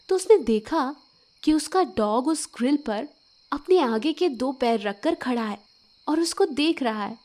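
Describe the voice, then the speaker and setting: A woman's voice: high-pitched, darkish tone. A woman telling a story as a scripted, emotional narration. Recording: music bed behind narration. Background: background music.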